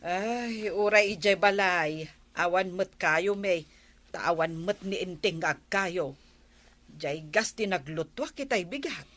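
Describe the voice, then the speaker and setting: A woman playing a chatty village neighbour who is quick to grumble, exclaiming colloquially in a comedic radio drama. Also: on the low side, fairly bright tone.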